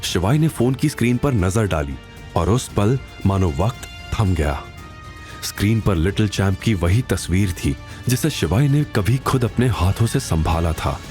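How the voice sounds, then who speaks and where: A man narrating an audio drama in a scripted, dramatic style with expressive, suspenseful delivery. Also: lowish voice, measured pace, faint music behind.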